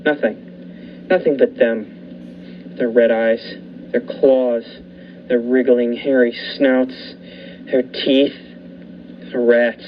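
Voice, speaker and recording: A young man voicing a lighthouse keeper who narrates a suspenseful radio drama in a measured, dramatic way with lingering pauses. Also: medium pitch, little pitch movement. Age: young adult. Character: male lighthouse keeper recounting a nightmare. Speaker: student actor. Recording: muffled with faint hiss.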